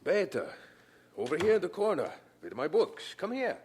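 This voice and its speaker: An actor playing an earnest, devout young German theology student with a German accent, speaking fervently and deliberately in a radio drama. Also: male voice, high voice, sing-song, noisy background. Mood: subdued resolve.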